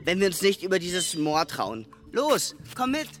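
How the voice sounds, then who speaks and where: A woman's voice: on the low side, big pitch swings, unhurried. A woman voicing a curious, brave young male troll in a children's radio play, wondering and eager. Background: music.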